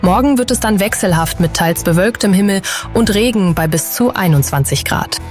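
Female traffic and weather presenter, clear, friendly, with faint music underneath. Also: medium pitch, expressive, normal speed, a little mellow. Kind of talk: scripted traffic and weather report.

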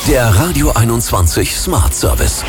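A man's voice: medium pitch, sing-song, moderate pace, loud music behind the voice. A man voicing radio station jingles in a punchy, promotional way. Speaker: station announcer.

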